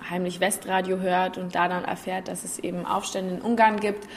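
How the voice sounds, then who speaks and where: Young Berlin actress, relaxed and hesitant. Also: female voice, on the low side, normal speed, slight background noise. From Germany. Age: 20s. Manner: relaxed, hesitant, trails off softly. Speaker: actress guest. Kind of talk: casual interview talk.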